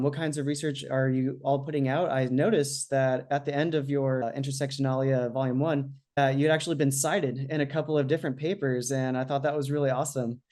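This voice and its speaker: A man's voice: medium pitch, a little mellow. Male podcast host, mid-range voice, friendly and a little hesitant, asking questions over a video call. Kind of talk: interview questions. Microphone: webcam on a video call.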